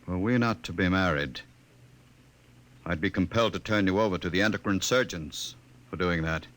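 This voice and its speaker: Deep-voiced, suave, controlling official. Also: male voice, moderate pace, a bit bright. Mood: condescending, cold. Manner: suave, condescending, formal. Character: smooth, controlling, domineering male official and suitor, possessive. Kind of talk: 1950s radio drama acting. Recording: old-time 1950 radio recording.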